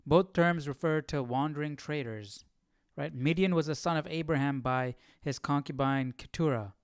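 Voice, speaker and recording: Clear higher-pitched man, informal. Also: moderate pace, no echo, clean studio sound. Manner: informal, slangy. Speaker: host of a Bible study livestream. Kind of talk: casual chat and reading study notes.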